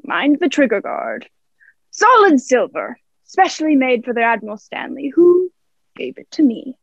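A woman's voice: high voice, very animated, moderate pace, creaky, dry recording, dull audio, exaggerated voice. A woman playing a sly, hearty pirate ship's cook in a radio play, cajoling in salty pirate dialect. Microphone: own device, remote recording.

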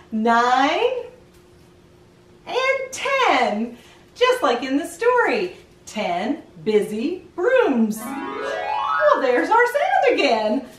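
A middle-aged woman hosting a children's read-aloud, playfully leading young listeners through counting and asking them questions in an animated sing-song way. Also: high voice. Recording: roomy echo, distant mic, music behind.

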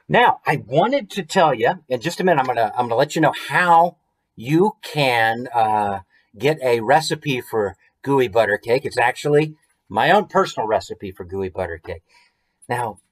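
An American man in his thirties reading a children's book expressively, with chatty asides and questions to the listeners. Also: mid-range voice. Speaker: children's author reading own book to viewers. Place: small room at home, indoors.

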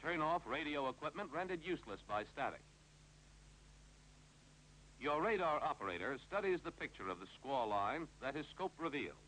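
An American man narrates a 1950s instructional film in a clipped, authoritative way. His voice is bright and forceful, with lively intonation that rises and falls through each instruction. The old film soundtrack adds faint noise and hum. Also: a little high, normal speed, low-quality recording.

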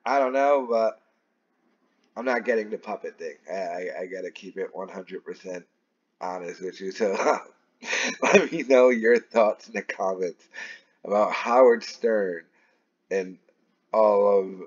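Slow-paced, rambling man in dull lo-fi audio. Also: on the low side, breathy edge. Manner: baffled, rambling, repeats phrases for emphasis. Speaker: online commentator. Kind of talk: casual opinion commentary. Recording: dull, lo-fi sound.

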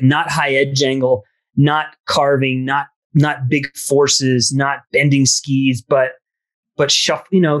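A man in his thirties, a guest ski instructor, explaining his ideas in a thoughtful, measured way on a video call. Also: medium pitch. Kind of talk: casual video-call interview. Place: small home room. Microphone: webcam on a video call.

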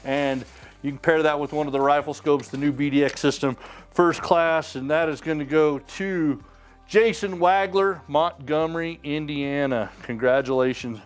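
A man's voice: fairly high pitch, steady tone, moderate pace, faint music behind. A middle-aged man hosting a sweepstakes drawing in a small studio, casually announcing winners' names and towns off the cuff. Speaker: sweepstakes host. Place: indoor studio with wood walls and mounted trophies.

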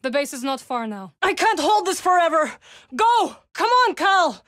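A woman voicing a calm, dry-witted young witch companion in a video game, speaking in measured, formal lines recorded close on a studio mic. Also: very high-pitched, no echo, full character voice. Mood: urgent shouting.

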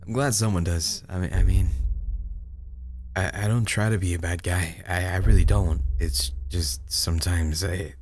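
A man playing a lonely, regretful father in an audio drama, halting and stammering as he talks. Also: deep voice, steady tone, close to an everyday voice. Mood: apologetic, downcast. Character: lonely, self-doubting father, subdued and regretful. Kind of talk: amateur audio drama acting.

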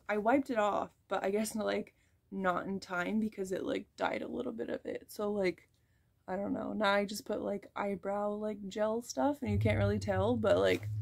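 A woman's voice: mid-range voice, creaky, darkish tone. A young woman giving a casual, rambling hair dye tutorial in a small bedroom, filler words throughout. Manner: rambling, chatty, heavy use of "like" and "um". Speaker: beauty vlogger.

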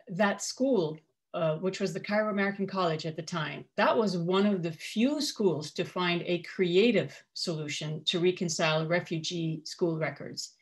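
A woman in her forties from Kuwait speaking on a panel, telling her personal story in a measured, articulate way. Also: lowish voice. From Kuwait, of Syrian parents. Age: 40s. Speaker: panel speaker, coach and media professional. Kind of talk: personal storytelling in a prepared talk.

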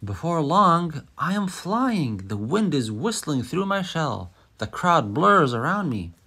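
A man reading a children's picture book aloud as an expressive, dramatic storyteller. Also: on the high side, slow pace, breathy edge.

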